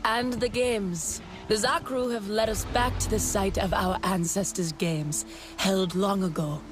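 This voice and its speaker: Slow, serene alien clanswoman voiced by an actress. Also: mid-range voice, steady tone, over music, lightly acted. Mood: solemn, welcoming. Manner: measured, ceremonious. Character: serene, solemn alien clanswoman, a wise guide. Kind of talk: video game dialogue acting.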